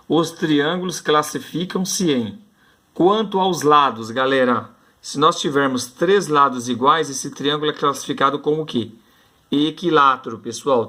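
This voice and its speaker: Male Brazilian teacher, slightly high and forceful voice, informal classroom-style explaining with frequent check-ins. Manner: colloquial, instructive, repeats key points and checks in often. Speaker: math teacher. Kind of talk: informal video lesson for schoolchildren.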